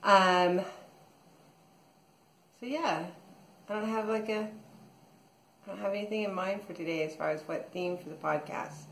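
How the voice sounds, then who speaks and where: A woman's voice: mid-range voice, a little slow, pauses often, a little hiss or hum. A woman in her thirties recording a casual video diary at home, rambling with many fillers and restarts. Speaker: vlogger. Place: small room at home, indoors. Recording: echoey room.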